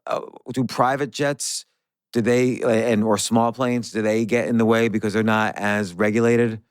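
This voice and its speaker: A middle-aged man hosting a casual interview, halting as he forms questions and acting out imagined bits of dialogue. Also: on the low side, fairly even intonation, clean tone, dry recording, studio quality. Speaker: podcast host, interviewer. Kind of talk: casual chat.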